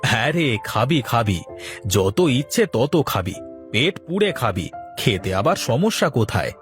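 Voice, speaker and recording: A man playing a humble, kind samosa-selling husband in a cartoon, homely and gently preachy. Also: medium pitch, big pitch swings, unhurried, soft background music. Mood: kindly, generous. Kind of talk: cartoon story dialogue.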